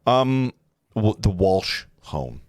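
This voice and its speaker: Low-voiced man reading stage directions. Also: sing-song, a little mellow, no echo, clean studio sound. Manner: halting, self-correcting. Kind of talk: live table read of a film script.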